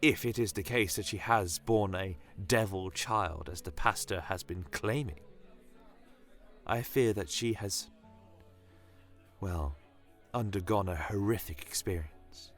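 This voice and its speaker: A man voicing a gentle, earnest village woman with a calm, measured way of talking, in an audio fantasy tale. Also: lowish voice, soft background music. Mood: gentle, worried. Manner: calm, soft-spoken, measured.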